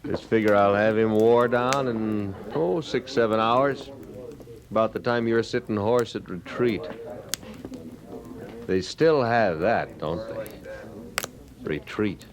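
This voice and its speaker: An actor playing a sardonic, drifting ex-soldier and gambler in an old-time radio western, easygoing and needling. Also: male voice, on the low side, takes it slowly, long pauses. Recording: old radio drama with crowd murmur. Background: saloon crowd sound effects.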